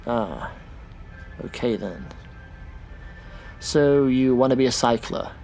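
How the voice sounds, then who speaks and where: A man's voice: mid-range voice, takes it slowly, a little mellow, faint music behind, rough recording. A man playing an easygoing but opinionated taxi driver with a casual, slightly hesitant delivery in a science fiction audio drama. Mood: curious, wary.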